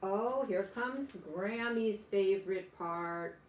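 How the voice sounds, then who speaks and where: A woman's voice: mid-range voice, slow pace, roomy sound, a little hiss or hum. An older woman, a grandmother, reading a children's storybook aloud expressively and adding chatty exclamations for young listeners. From United States.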